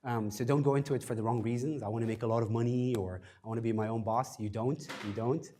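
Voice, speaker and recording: Fast-talking man giving casual lecture. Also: medium pitch, fairly even intonation, warm tone, slight background noise. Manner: fast-talking, self-deprecating, frequent fillers. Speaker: guest speaker at startup workshop. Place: event space, in front of audience.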